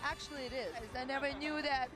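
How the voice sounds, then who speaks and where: A woman from Los Angeles, a tourist interviewed outdoors, speaking in a bubbly, excited way. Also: sits high, fast, music in the background. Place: busy street outdoors.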